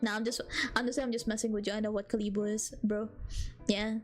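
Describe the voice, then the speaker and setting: A woman's voice: medium pitch, little pitch movement, fast talker, faint music behind. A young woman from the Philippines with a Filipino accent, chatting casually and playfully with her stream viewers, giggling and rambling.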